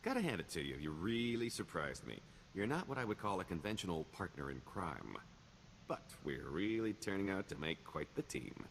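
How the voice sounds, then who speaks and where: An actor playing a roguish, glib smuggler and con artist in a video game, with a wry, theatrical delivery. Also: male voice, deep voice, sing-song, moderate pace, a little hiss or hum. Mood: upbeat, boastful. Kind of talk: video game character dialogue.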